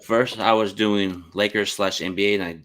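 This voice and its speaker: Male podcast host, low and fairly level delivery, quick, informal and slangy, casual sports interview. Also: steady tone, a bit bright. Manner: informal, slangy, repeats "man".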